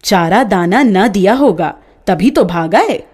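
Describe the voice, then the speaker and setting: A woman's voice: medium pitch, lively intonation, slow, darkish tone, slightly rough. A woman voicing a kind village farmer who defends his bulls with indignant, teasing retorts.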